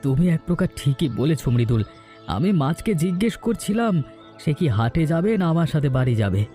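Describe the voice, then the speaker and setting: Mellow, good-natured village fisherman. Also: a little high, big pitch swings, brisk, soft background music. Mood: pleased, musing. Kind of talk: cartoon folktale dialogue.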